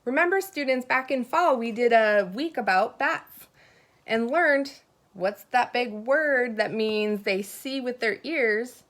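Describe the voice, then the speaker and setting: A woman teacher reading a children's picture book aloud expressively, pausing to put questions and asides to her young listeners. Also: on the high side, fairly bright tone.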